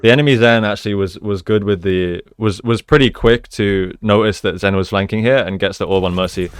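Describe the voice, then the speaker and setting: A man's voice: on the low side, brisk, smooth. A man giving casual, explanatory gameplay commentary as an esports coach, using gamer slang. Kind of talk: live gameplay commentary and breakdown.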